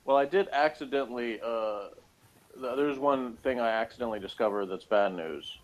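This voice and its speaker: A man's voice: medium pitch, moderate pace, low-quality recording. A man giving a casual review in conversation, blunt and dryly sarcastic, rambling as he talks. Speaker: guest reviewer of a TV episode.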